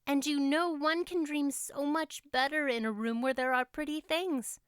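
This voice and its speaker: Lady doing a gushy dreamy little girl for an audiobook, really high, talks breathlessly excited. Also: moderate pace, no echo, studio quality, lightly acted. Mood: dreamy, eager. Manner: gushing, breathless enthusiasm. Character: dreamy, exuberant, talkative young girl. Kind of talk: audiobook character voice.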